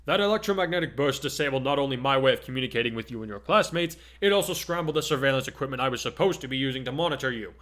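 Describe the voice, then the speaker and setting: A man's voice: medium pitch, expressive, very fast, few pauses, a bit bright, lightly acted. A man voicing a giant, kindly male superhero teacher, hearty and earnest, in a story reading. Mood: serious.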